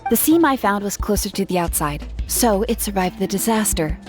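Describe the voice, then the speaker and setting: A woman's voice: medium pitch, animated, normal speed, lightly acted. A woman playing an earnest, kind young female gem artisan in a video game, speaking in a conversational storytelling way. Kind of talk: video game character acting. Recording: music playing behind.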